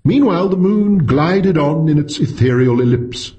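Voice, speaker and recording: A man's voice: a little high, lively intonation, moderate pace, mellow, muffled recording. A man narrating a science fiction short story as a scripted audiobook.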